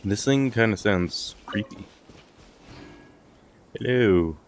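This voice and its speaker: A man's voice: lowish voice, expressive, slow, a little hiss or hum. A man giving casual gameplay commentary as a streamer, muttering and thinking aloud. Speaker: game streamer.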